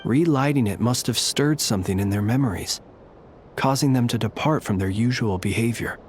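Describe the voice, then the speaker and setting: An actor playing a solemn, courteous graveyard lightkeeper in a video game, speaking in a formal, measured, old-fashioned way. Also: male voice, medium pitch, slight background noise, close to an everyday voice. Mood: solemn, thoughtful. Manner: formal, measured, archaic diction.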